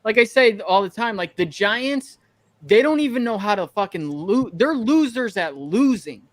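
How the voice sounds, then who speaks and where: A man with a high-pitched voice speaks as a podcast co-host. He rants bluntly and casually, swears freely and slips into imagined quotes to make his point. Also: lively intonation, normal speed, no room sound. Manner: blunt, ranting, profane, acts out imagined quotes. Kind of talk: casual sports banter.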